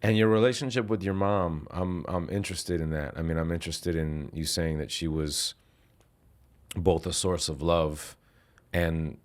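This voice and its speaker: A man's voice: low-pitched, fairly even intonation, moderate pace. A man hosting a podcast interview, attentive and encouraging, with frequent short affirmations. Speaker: podcast host. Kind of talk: interview conversation.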